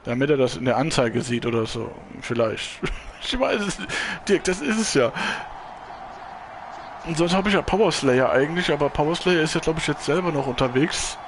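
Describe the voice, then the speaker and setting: A man's voice: on the high side, expressive, normal speed, background noise. A man from Germany live-streaming a football video game, chatting casually and rambling as he answers viewers.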